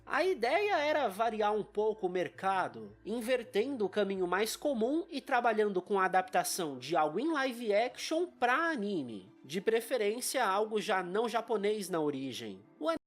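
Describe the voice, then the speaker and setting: A woman reads a scripted video essay in a wry, informative way. Her pitch moves a lot, rising and falling through each sentence, while her voice sits at an ordinary height for a woman. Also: big pitch swings, moderate pace, few pauses, soft background music.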